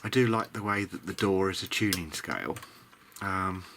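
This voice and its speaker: A man's voice: low-pitched, moderate pace, a bit bright, a little hiss or hum. A British man giving a casual, muttering commentary as he works on a repair, mildly grumbling and self-deprecating. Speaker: hobbyist electronics video diarist.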